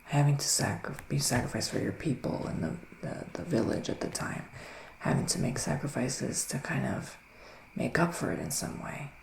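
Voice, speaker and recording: A soothing male witch played by a man gives an intimate ASMR psychic reading. His soft, breathy voice crackles with fry and often drops into a whisper. He speaks earnestly and haltingly, straight to the listener, in a roomy, echoing space with faint noise. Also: medium pitch, expressive. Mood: hushed, soothing. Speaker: ASMR performer playing a psychic reader. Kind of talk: ASMR roleplay, improvised tarot reading.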